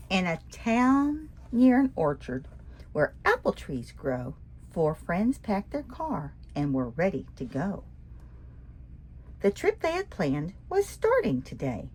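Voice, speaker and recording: Breathy woman reading a picture book aloud. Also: mid-range voice, takes it slowly, long pauses. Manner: expressive, sing-song, asks the listener. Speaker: children's book reader.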